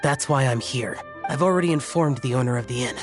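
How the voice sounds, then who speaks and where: A man's voice: medium pitch, fairly quick, over music, lightly acted. Man voicing an aloof, solemn immortal demon slayer. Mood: stern, reserved. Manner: terse, formal, detached. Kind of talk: video game character acting.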